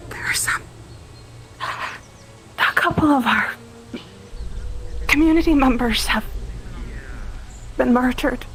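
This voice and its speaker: A woman playing a timid, polite townswoman in a roleplay game, hesitant, halting and apologetic. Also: high voice, a bit bright, slight background noise, heavily acted. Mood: timid, flustered. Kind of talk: live improvised roleplay acting.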